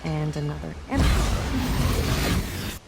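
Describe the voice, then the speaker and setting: An actress reciting philosophical passages for a game's audio log, with loud noise behind her. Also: deep voice, animated, slow pace, vocal fry, over music, full character voice. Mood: reflective. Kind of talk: reading quotations in game audio logs.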